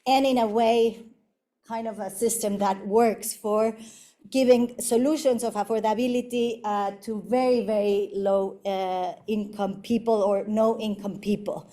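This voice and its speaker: Middle-aged Mexican woman architect, lecture delivery; mellow tone, halting with frequent fillers. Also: medium pitch, steady tone. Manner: halting, many fillers and restarts. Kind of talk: university lecture presenting projects.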